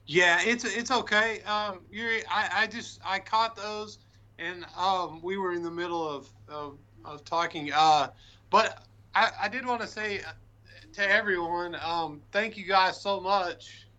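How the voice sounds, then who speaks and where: High bright-voiced guy answering stream questions, lots of ums, friendly. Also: unhurried. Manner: friendly, filler-heavy. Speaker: guest, lure painter. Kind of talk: casual livestream interview.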